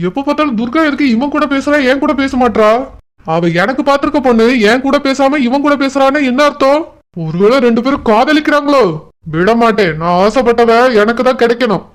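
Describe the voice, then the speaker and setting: A man's voice: very high voice, fairly quick. A man voicing an arrogant, jealous young man in a village cartoon, scolding and bossy, with a Kanyakumari Tamil accent. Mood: suspicious, scheming. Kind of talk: village cartoon drama acting.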